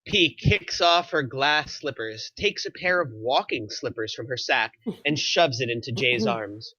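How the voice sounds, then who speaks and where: A man's voice: medium pitch, unhurried, sharp tone, no room sound. A man reading scripted stage directions as narrator of a screenplay, in lo-fi sound.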